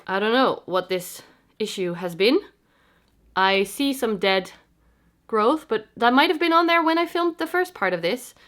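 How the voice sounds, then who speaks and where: A woman's voice: medium pitch, a bit bright. Congested woman chatting about plants. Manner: chatty, self-deprecating, explains plant care. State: congested from a cold. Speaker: plant vlogger. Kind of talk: casual talk to camera. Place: home, indoors.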